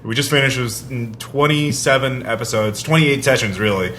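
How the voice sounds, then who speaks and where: Halting male podcast host, noisy recording. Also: medium pitch, bright, forceful tone. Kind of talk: casual podcast chat and announcements. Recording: clear background noise.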